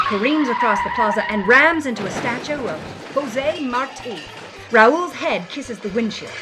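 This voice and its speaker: A woman's voice: on the high side, a bit bright. An actress playing a defiant, worldly woman on the run, telling her story in a vivid, hard-boiled first-person style. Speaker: actress narrating as a character. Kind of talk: dramatic first-person scripted narration.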